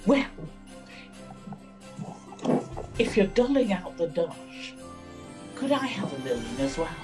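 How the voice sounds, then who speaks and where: An actress playing a cheeky pantomime good fairy, wry and theatrical. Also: female voice, on the low side, sing-song, moderate pace, music in the background. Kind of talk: comic pantomime acting and rhyming narration.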